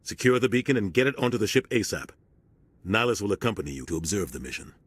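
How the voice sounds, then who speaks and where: An actor playing a serious male starship captain giving a steady, earnest briefing in a video game cutscene. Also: fairly low pitch, fast, breathy edge, no echo.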